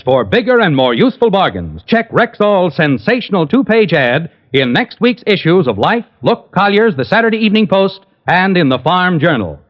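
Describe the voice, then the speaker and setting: A man with an American accent reading scripted announcements and ads in a polished, emphatic 1950s radio style. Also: high-pitched. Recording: vintage radio broadcast, dull, music behind.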